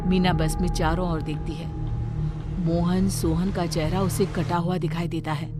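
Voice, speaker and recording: A woman's voice: lowish voice, flat delivery, normal speed, noisy background. A woman narrating a scripted story in a dramatic storytelling style. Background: background music.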